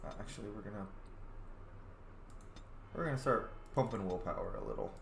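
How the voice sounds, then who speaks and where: A young man casually commenting on a video game as he plays, muttering to himself with excited bursts. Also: lowish voice, brisk, gravelly, a little hiss or hum. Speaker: gamer. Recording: echoey room.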